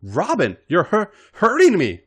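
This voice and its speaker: A man voicing a smitten, nervous young woman in a story, in a breathy way. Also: high-pitched, wildly swooping pitch, a little slow, a bit bright, pitched above the performer's own voice, exaggerated voice. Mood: pleading.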